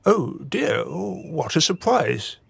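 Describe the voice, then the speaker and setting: A man's voice: a little high, very animated, takes it slowly, creaky, breathy edge, no echo, full character voice. A man playing a weary older husband in a radio drama, speaking in a dry, mildly sarcastic way. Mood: wry, placating. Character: weary, dry, long-suffering older Venetian husband and father.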